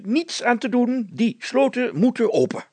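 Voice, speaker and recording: A man voicing a nervous, excitable town mayor with a fussy, exclamatory way of talking in an audiobook story. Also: extremely high pitch, measured pace, breathy edge, no room sound, pitched above the performer's own voice, heavily acted. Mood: nervous, agitated. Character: nervous, excitable, curious male burgomaster.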